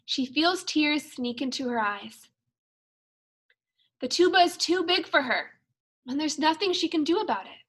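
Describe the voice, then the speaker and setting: A woman teacher reading a storybook aloud for young children, expressively and in measured phrases. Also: high-pitched, lively intonation. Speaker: second grade teacher.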